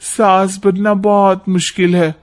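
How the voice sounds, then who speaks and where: A man's voice: high voice, little pitch movement, a little slow. A man voicing a young married daughter-in-law in a family cartoon, pleading and exclamatory. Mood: conciliatory.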